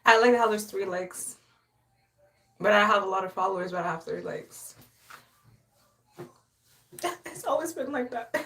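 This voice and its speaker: A woman's voice: medium pitch, lively intonation, a little slow, long pauses, a bit bright, slight background noise. A young woman from Haiti chatting casually on a live stream, sassy, boastful and playful, often laughing. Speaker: livestreamer.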